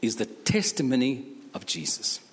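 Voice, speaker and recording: Lively man preaching in a hall. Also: medium pitch, normal speed. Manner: speaks in short phrases, broken German asides. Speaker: guest preacher. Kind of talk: preaching with interpreter. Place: church hall. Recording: long hall reverb.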